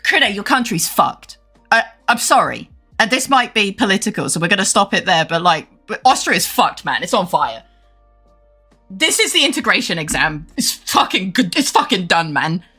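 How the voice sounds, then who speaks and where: A young woman streamer chatting casually while gaming, animated and swearing freely with frequent laughs. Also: mid-range voice, moderate pace, husky, faint music behind. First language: English.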